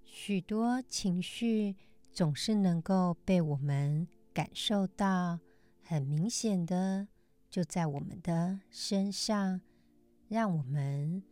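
A woman with a dark, mellow voice hosts a meditation podcast. She speaks very slowly and gently, pausing often between short phrases. The sound is close and dry, suited to calm mindfulness teaching. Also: fairly low pitch, no echo. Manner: measured, gentle phrasing with frequent pauses. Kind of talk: calm scripted mindfulness talk.

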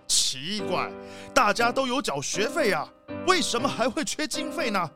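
A man's high, swooping voice a stingy, self-important father in a children's radio play. He blusters and grumbles with suspicion, over background music. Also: sing-song, normal speed. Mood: irritated, dismissive. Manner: blustering, complaining. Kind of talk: children's audio drama acting.

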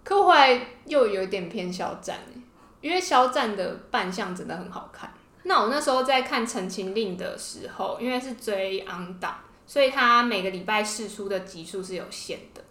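A woman's voice: mid-range voice, expressive, moderate pace, fairly bright tone. A woman with a Taiwanese Mandarin accent co-hosting a podcast in casual, gushing fangirl chat. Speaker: podcast co-host. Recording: roomy indoor echo.

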